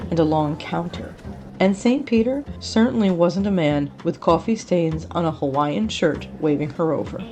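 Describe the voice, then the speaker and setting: A woman reading a scripted story as an expressive narrator. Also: lowish voice. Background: music.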